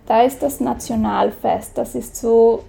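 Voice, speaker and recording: A Swiss woman with a Swiss German accent speaks slowly and hesitantly, searching for words with plenty of fillers. Her voice sits slightly high, with a gravelly edge and a dark, mellow tone. She laughs often between her sentences. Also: fairly even intonation, slight background noise, low-quality recording. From Switzerland. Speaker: guest. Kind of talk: casual interview.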